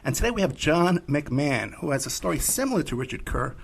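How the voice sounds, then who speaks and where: A man's voice: a little high, big pitch swings, fairly quick, creaky, husky. A man hosting a podcast as a private investigator, talking loosely with frequent fillers. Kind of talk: casual podcast hosting and ad reads.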